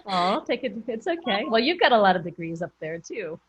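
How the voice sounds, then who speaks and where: A woman's voice: mid-range voice, sing-song, fairly quick, breathy edge. A woman mentor giving chatty, encouraging coaching feedback on a video call, often correcting herself mid-thought. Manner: chatty, encouraging, thinks aloud with self-corrections. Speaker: business mentor running a group coaching call. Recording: dull, muffled video call audio.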